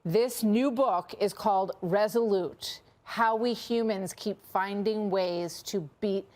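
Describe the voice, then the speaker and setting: A woman's voice: medium pitch, slow pace, darkish tone. An American woman working as a news anchor, presenting live and reading out statements.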